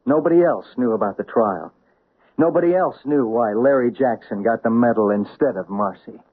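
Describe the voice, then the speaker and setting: A man's voice: mid-range voice, moderate pace, breathy edge, lightly acted. An actor playing a flustered, stammering man under questioning in a 1970s radio drama. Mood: confessing. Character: nervous, guilty-feeling committee member. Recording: tinny old radio broadcast.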